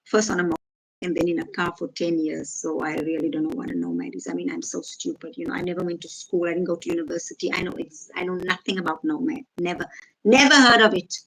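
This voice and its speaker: A woman's voice: lowish voice, fast talker, creaky, fairly bright tone, rough recording. A woman from Africa, casually reading out comments and answering them with sarcasm. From South Africa. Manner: sarcastic, reads out and rebuts comments. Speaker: vlogger reacting to comments.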